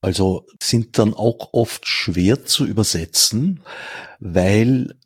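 A man interviewing a guest in a studio, asking reflective, measured questions. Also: lowish voice, slightly rough, dry recording.

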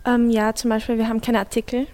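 Slightly high-voiced teen girl. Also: little pitch movement, fast, darkish tone. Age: teenager. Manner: casual, hesitant. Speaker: student. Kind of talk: school radio interview.

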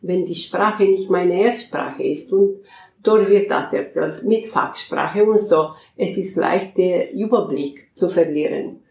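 A woman counselor answering interview questions in a halting way, often searching for words and restarting sentences. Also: fairly low pitch, gravelly, darkish tone, reverberant, low-quality recording. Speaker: counselor at a migrant women's advice center.

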